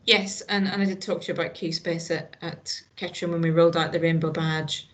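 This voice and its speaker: A woman's voice: lowish voice, steady tone, a little fast. A middle-aged woman from Northern Ireland with a Northern Irish accent, talking earnestly in a casual conversation, with lots of restarts. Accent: Northern Irish, slight Scottish. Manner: earnest, frequent "you know" and restarts. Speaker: hospital staff guest.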